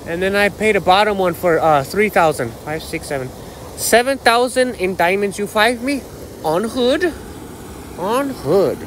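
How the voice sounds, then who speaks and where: High-pitched American man rambling outdoors. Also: animated, measured pace, a bit bright, rough recording. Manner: slangy, profane, boastful, with repeated catchphrases. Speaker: vlogger. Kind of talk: casual rambling phone vlog. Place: outdoors on a dirt path by a canal. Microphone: phone held at arm's length. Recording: outdoor ambient noise on phone.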